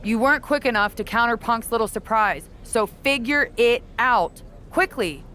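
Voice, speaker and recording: A woman playing a calculating veteran wrestler in a video game cutscene, talking in a cool, condescending, measured way. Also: on the high side, expressive, pushed and bright, a little hiss or hum. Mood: haughty.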